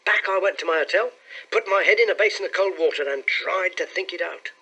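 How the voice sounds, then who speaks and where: Casting: eager young clerk in a detective audiobook, acted out by a man, high, bright, slightly husky, chatty and excitable. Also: a little fast, dull audio, heavily acted. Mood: bewildered. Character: young male London clerk, excitable, cheery, bewildered client.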